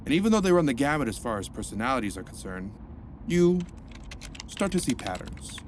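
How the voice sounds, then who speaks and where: An actor playing a cheerful, jokey male rehab counselor in an audio drama, with a playful, lively delivery. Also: medium pitch, fairly quick, a little hiss or hum, lightly acted.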